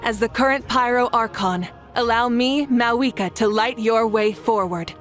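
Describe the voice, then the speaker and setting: An actress playing a confident, noble young warrior goddess in a video game, speaking in a poised, assured, declarative way. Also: female voice, on the high side, moderate pace, a bit bright, faint music behind, close to an everyday voice. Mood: proud, resolute. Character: confident, noble young woman, fiery warrior god-leader. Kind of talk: scripted game character voice lines.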